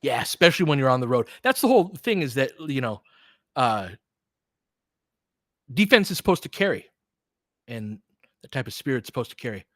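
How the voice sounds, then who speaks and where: A man's voice: medium pitch, very animated, moderate pace, long pauses, no room sound, clean studio sound. A man hosting a casual live sports talk stream, thinking aloud and posing rhetorical questions to make his points. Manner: thinks aloud, poses rhetorical questions, stresses points with check-ins like "right?". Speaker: host of a live basketball audio play-by-play and discussion stream. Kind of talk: casual live sports analysis, replying to chat.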